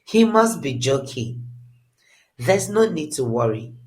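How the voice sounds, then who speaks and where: Narrator as a male pastor, dismissive, scoffing, mid pitch, animated intonation, dramatic storytelling. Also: takes it slowly. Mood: scornful.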